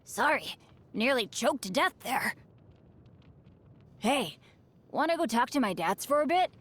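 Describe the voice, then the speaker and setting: A woman's voice: high voice, big pitch swings, measured pace, fairly bright tone, slightly rough, exaggerated voice. An actress voicing an eager, unlucky teenage boy adventurer in a video game, upbeat and friendly. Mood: cheerful. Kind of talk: video game character voice lines.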